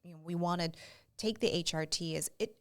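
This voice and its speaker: Woman doctor, early 50s, podcast co-host. Lowish voice, informal and personal delivery. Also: brisk. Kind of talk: casual, personal health conversation.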